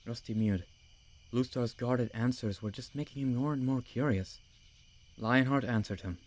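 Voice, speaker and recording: A man's voice: mid-range voice, moderate pace, a little mellow. A man reading scripted story narration as the narrator of an audiobook.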